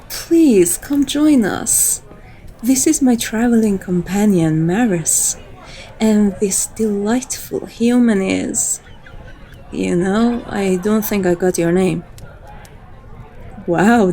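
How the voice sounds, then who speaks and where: A woman's voice: mid-range voice, takes it slowly, dark tone. A woman playing a flirtatious, teasing female vampire in an intimate, coaxing ASMR roleplay. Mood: indulgent, teasing.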